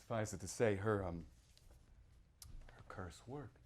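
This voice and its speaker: A man performing a dramatic reading as a haunted, folksy small-town man who tells his tale in halting, rueful asides. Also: lowish voice, close to an everyday voice. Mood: brooding, hushed. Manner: folksy, halting, rhetorical asides, swings between hush and outburst. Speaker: author reading from his novel. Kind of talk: theatrical dramatic reading, first-person monologue.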